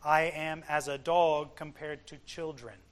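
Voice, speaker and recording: A man preaching an expository sermon, explaining scripture in deliberate, emphatic short phrases. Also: fairly high pitch, little pitch movement.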